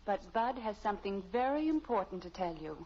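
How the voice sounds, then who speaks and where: A woman's voice: medium pitch, a little fast, close to an everyday voice. Woman acting patient American radio mother, lilting. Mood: calm, coaxing. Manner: calm, reasoning, gently reproachful. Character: patient, gently firm suburban wife and mother. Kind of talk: 1950s radio sitcom acting. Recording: muffled old radio recording.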